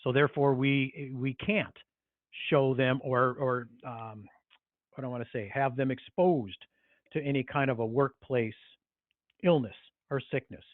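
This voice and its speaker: A man's voice: mid-range voice, a little slow, no room sound. A man presenting informally on a business conference call, talking in a hesitant, rambling, conversational way. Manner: hesitant, rambling, conversational with frequent "you know". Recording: tinny phone-line conference call.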